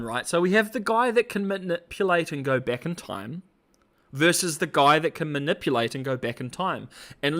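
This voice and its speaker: Chatty young man, laughing, rambling commentary. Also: fairly high pitch. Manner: animated, laughs often, filler-heavy rambling. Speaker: host. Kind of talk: casual livestream commentary.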